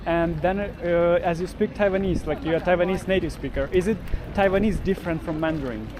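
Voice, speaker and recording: A man's voice: high voice, fairly quick, music in the background. A man interviewing passers-by on a city street, asking short curious follow-up questions and laughing easily. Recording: clear street noise outdoors.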